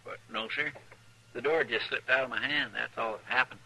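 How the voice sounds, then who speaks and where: An actor playing a simple, earnest Old West helper with a rural American drawl in a radio western. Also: male voice, mid-range voice, brisk, creaky, pushed and bright, husky, full character voice, poor audio. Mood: folksy, defensive. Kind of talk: 1950s radio western acting.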